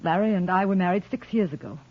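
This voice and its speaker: A woman's voice: lowish voice, fast, close to an everyday voice. A woman acting as a composed, fed-up wife in a vintage radio play. She is businesslike and no-nonsense, and her voice comes through a dull, aged recording. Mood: firm, exasperated. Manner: crisp, no-nonsense. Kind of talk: old-time radio drama acting. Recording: muffled old radio recording.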